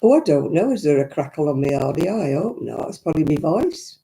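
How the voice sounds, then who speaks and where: An older woman with a croaky voice, chatting and rambling to viewers while she knits on a live stream. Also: deep voice, sing-song, a little fast, dark tone. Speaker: crafting livestream host. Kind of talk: casual chat to live viewers. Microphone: own simple mic, live stream. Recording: crackly audio.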